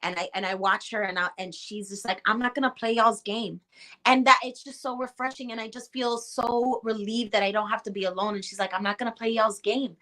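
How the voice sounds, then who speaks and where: Passionate bright-voiced woman with Chicago Puerto Rican accent. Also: on the high side, steady tone, brisk. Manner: passionate, emphatic, acts out quoted reactions. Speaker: actress guest. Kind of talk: casual conversation.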